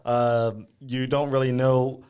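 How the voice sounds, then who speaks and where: A man hosting a casual podcast interview, easygoing and hesitant, filling pauses with ums and uhs. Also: mid-range voice, level tone, a little mellow, smooth. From Louisiana. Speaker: podcast host.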